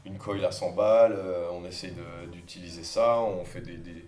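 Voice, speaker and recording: A man's voice: deep voice, normal speed, a little hiss or hum. A man who grew up in Brussels and works as a tattoo artist, chatting casually and hesitantly, with lots of fillers. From southern France, raised in Brussels. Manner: relaxed, hesitant, many fillers. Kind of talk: casual podcast chat.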